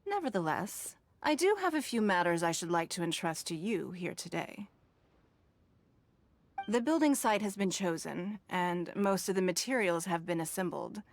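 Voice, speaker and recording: An actress playing a composed, powerful businesswoman who speaks in measured, formal, authoritative phrases. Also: fairly low pitch, expressive. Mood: composed, businesslike. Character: poised, powerful businesswoman leader, exacting and composed. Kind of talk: video game voice acting. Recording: background music under dialogue.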